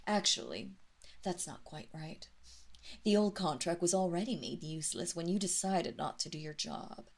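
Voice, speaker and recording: A woman voicing a cruel, seductive vampire mistress in an intimate audio roleplay, teasing and menacing the listener in a breathy, taunting way that drifts into whispers. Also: on the low side, animated, moderate pace.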